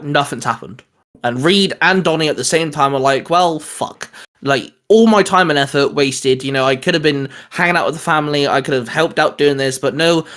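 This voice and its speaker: A man chatting casually as a host pitching geeky ideas, rambling and restarting his sentences as he goes. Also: a bit bright. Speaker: host of a comics discussion show.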